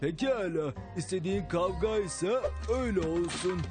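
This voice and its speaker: A man as a high-pitched, indignant cartoon villain sidekick. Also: moderate pace, natural voice. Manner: indignant, combative. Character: indignant, quarrelsome wolf villain's sidekick, adult male. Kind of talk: dubbed cartoon acting. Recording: loud music behind the dialogue.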